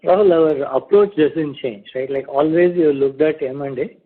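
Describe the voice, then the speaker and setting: A male company executive answers off the cuff on a conference call. His voice sits a little high for a man and sounds husky. It comes through a tinny telephone line. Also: measured pace. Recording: narrowband conference phone line.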